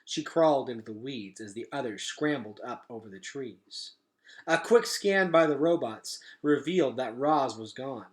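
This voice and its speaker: A man reading a children's story aloud as narrator, in an expressive storytelling style. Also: medium pitch, moderate pace.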